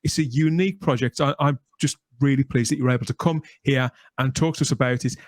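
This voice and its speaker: A man's voice: medium pitch, steady tone, fast talker, no echo. A man hosting a podcast in a chatty, enthusiastic, promotional way. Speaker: podcast host. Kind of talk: podcast interview and scripted sign-off.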